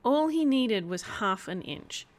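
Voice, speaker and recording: A woman narrating a role-play story aloud as one of its players, in an expressive style. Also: a little high, slow pace.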